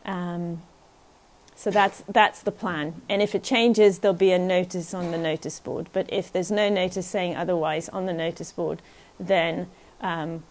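Soft-spoken woman teaching meditation. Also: on the low side. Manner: gentle, unhurried, often checks in with "yeah?". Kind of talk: guided meditation talk at a retreat.

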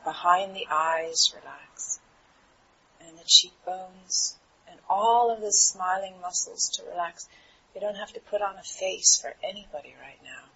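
A woman meditation teacher guiding a relaxation in a soothing, unhurried manner with trailing pauses. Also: fairly low pitch, pushed and bright. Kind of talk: guided meditation. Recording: dull, muffled recording with clear background noise.

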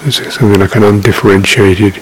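A man guiding a meditation in an unscripted, halting, reflective way, leaving long pauses between phrases. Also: on the low side, rough recording. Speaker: meditation teacher. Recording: steady background hiss.

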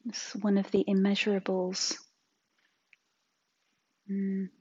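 A woman teaching a guided meditation in a soft, breathy, husky voice, gentle and unhurried with long pauses. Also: mid-range voice, monotone, lo-fi sound.

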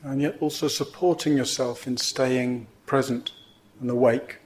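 A man teaching meditation, guiding a sitting in gentle, measured phrases with pauses between them. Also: medium pitch, fairly even intonation, a little hiss or hum, low-quality recording. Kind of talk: guided meditation instruction.

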